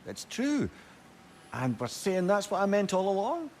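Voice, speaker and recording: Scottish accent, witty old sage, lovelorn, heartfelt, very animated pitch, game drama, faint noise. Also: male voice, unhurried, full character voice. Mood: supportive, tender. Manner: expressive, wry, heartfelt. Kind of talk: dramatic video game voice acting.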